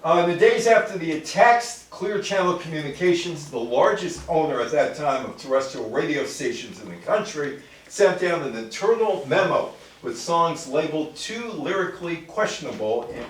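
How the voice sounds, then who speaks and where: A man's voice: a little high, lively intonation, normal speed. An older American man giving an informal lecture on music history to a live audience. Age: older, grandfather. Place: indoor room before a live audience. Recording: very echoey room, distant microphone.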